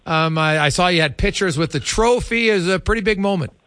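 Guy hosting sports radio, bit higher voice, super dry studio sound, halting casual chat. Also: fairly bright tone, no room sound.

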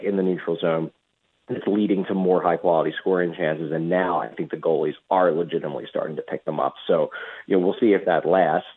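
A man speaking as a hockey reporter and radio guest, giving measured, conversational sports analysis at length over a phone line. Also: sits low, husky. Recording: muffled tinny phone line.

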